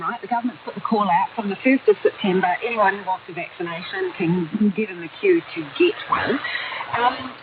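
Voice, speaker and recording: Female New Zealand news host, direct interviewer, mid-pitched, coming over a phone-thin shortwave signal. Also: distant mic. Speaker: radio current affairs host. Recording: narrow, noisy shortwave radio broadcast.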